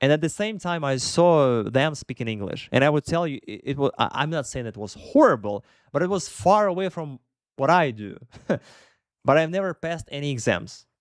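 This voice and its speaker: A man's voice: on the high side, expressive, normal speed, no echo, studio quality. A young man with a Russian accent, chatting casually as an English teacher answering viewers' questions live, often correcting himself and laughing between points.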